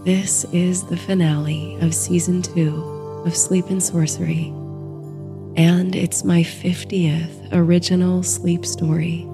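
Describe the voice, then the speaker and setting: Slow, hushed woman narrating a bedtime story. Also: on the low side, level tone, music in the background. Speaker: host and narrator of a sleep story series.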